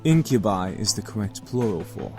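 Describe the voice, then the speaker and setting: A man's voice: fairly low pitch, sing-song, normal speed, gravelly, dark tone. A man playing a composed male incubus in a visual novel game, speaking with polite, precise authority. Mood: composed, formal. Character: composed, formal eldest-brother type male incubus. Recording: music behind the voice.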